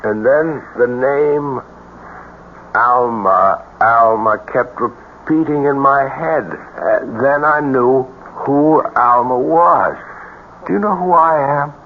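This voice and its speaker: An actor playing a disturbed fugitive soldier in a radio drama, dazed and haunted, with halting, repetitive speech. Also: male voice, mid-range voice, lightly acted. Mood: haunted, intent. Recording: muffled, noisy old radio broadcast.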